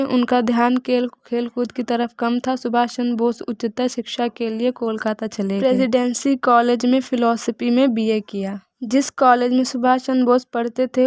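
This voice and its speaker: A woman narrates from a script in a fairly high voice. Her delivery is earnest and very flat in melody, like a recitation. The sound is close and dry, with no room around it. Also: no echo. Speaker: narrator of an educational video. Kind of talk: scripted narration, read-aloud biographical essay.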